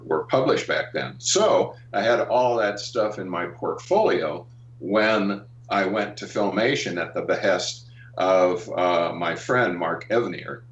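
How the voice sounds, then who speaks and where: An older American man reminiscing in a casual interview, speaking haltingly with frequent pauses and ums. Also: deep voice, slight background noise. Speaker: interview guest, animation artist and art director. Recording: roomy, dull, distant call-like audio.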